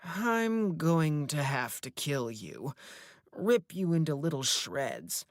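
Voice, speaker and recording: A woman's voice: sits low, very animated, takes it slowly, no echo, clean studio sound, full character voice. A woman voicing a possessive, menacing male creature that hides in a closet, who speaks in a coaxing, controlling way that turns into threats. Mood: falsely soothing.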